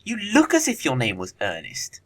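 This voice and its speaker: A man acting a witty, teasing young English gentleman in an audio play of a period comedy, with an arch, theatrical delivery. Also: medium pitch, wildly swooping pitch, normal speed, slight background noise. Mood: triumphant, teasing.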